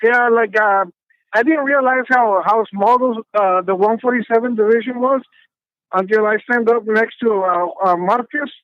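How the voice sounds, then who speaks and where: A man in his fifties from Texas, calling in from his car while driving, speaking politely in halting sentences with many restarts. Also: very high voice, no room sound. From Texas, USA. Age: 50s. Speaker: caller. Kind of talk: phone call-in. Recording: dull phone line.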